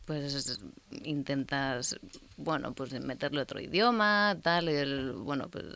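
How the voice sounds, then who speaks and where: A woman's voice: a bass voice, slow, gravelly, fairly bright tone. A woman chatting as a guest, talking freely with many fillers and restarts. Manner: chatty, full of fillers and self-corrections. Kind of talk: casual conversation.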